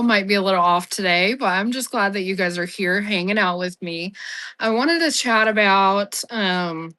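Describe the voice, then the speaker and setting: Hoarse woman talking casually on a livestream. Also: medium pitch, bright, forceful tone. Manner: chatty, apologetic, frequent ums. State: hoarse, recovering from flu. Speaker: live-selling app host. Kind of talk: casual livestream seller-support chat.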